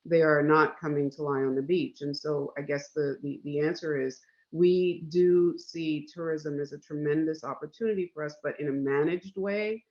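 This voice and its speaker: An American-accented man from Guyana speaks on a panel over a video call, his voice a little raised in pitch. The audio is muffled and dull. He talks earnestly, with frequent fillers between thoughts. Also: steady tone. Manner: earnest, measured, with many fillers. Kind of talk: panel discussion.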